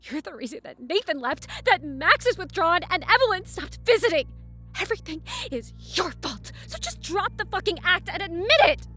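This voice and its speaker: A woman's voice: extremely high pitch, big pitch swings, moderate pace, bright, forceful tone, soft background music, heavily acted. A woman playing a distraught, suspicious, hot-tempered young stepdaughter in an audio drama, emotionally raw and confrontational. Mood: furious.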